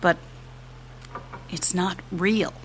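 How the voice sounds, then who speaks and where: Low-voiced grieving widow. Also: female voice, fairly even intonation, a little hiss or hum, rough recording. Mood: tender grief. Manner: halting, confessional. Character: grieving widow, candid and tender, sharing in a group. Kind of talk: naturalistic fiction podcast acting.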